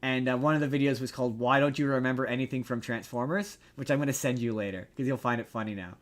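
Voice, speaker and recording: A man co-hosting a podcast, chatting casually about pop culture in an excitable, stammering, emphatic way with casual swearing. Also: medium pitch, fast. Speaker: podcast co-host.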